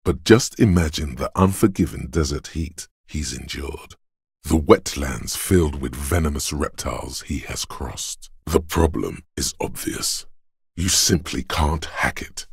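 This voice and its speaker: A stern, goading voice of bodily endurance from a video game. It is an exceptionally low bass, rough and gruff. Every phrase is weighty and deliberate, recorded close and dry. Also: male voice, no echo, exaggerated voice. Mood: brooding, solemn. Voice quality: gravelly, husky bass. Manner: slow, deliberate, weighty delivery. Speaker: voice actor. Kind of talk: dramatic video game narration.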